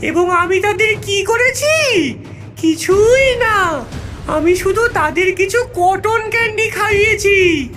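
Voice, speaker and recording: A woman's voice: very high-pitched, takes it slowly, a bit bright, slight background noise, heavily acted. A woman voicing a wicked, child-eating cartoon witch with a gloating, theatrical manner. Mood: triumphant, sinister. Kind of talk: cartoon villain voice acting.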